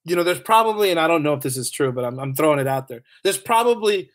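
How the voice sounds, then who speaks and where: A man's voice: fairly high pitch, expressive, fast talker. A man chatting casually as a podcast guest, informal and often repeating his phrases as he goes. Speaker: podcast guest, author and audio dramatist. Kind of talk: casual chat, anecdotes.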